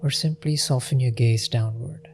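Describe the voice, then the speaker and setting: A man leading a guided meditation in a gentle, unhurried manner with long pauses. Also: medium pitch, a little hiss or hum.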